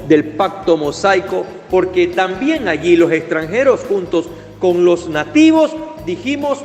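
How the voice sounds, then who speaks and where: A man preaching a fervent, emphatic sermon, with Hebrew religious terms in his speech. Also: high voice. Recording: echoey hall with faint music.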